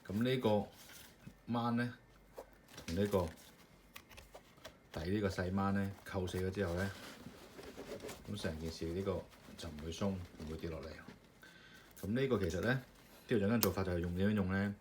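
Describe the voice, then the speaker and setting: Deep-voiced man demonstrating an assembly. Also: steady tone, normal speed, long pauses. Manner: loose, step-by-step explaining with many fillers. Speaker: maker demonstrating his product. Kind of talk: casual hands-on assembly demonstration. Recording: clear background noise with clicking parts.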